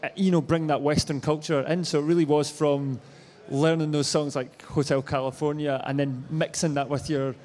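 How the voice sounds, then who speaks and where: A man with a slightly higher voice puts friendly, encouraging questions to a guest. A long reverb hangs on his words in a large space. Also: little pitch movement, normal speed, hardly any pauses, darkish tone. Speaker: interviewer. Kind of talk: interview questions. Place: large store event space.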